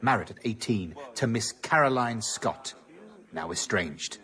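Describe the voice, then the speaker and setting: Cold interrogator, measured, formal, clipped, fairly deep, video game period drama. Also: male voice, animated, bright, forceful tone. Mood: cold, probing. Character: cold, formal male interrogator in a pirate-era prison.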